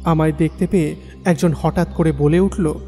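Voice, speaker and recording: A man's voice: on the high side, fairly even intonation, normal speed, warm tone, lightly acted. An actor playing a troubled young man who narrates his own ghostly tale in a dramatic audio story. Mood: suspenseful recounting. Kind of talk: dramatic first-person horror story narration. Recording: long reverb, faint music bed.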